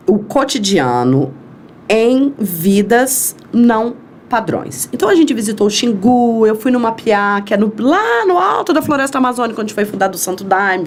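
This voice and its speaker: Animated Brazilian woman telling a story. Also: mid-range voice, a little hiss or hum. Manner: animated, acts out quoted dialogue. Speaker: podcast guest. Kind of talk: storytelling in an interview.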